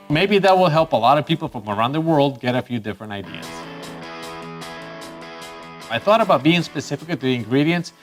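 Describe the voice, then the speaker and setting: A man from Costa Rica hosting his photography channel in a chatty, upbeat way, with bursts of excitement. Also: on the high side. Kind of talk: casual YouTube presenting.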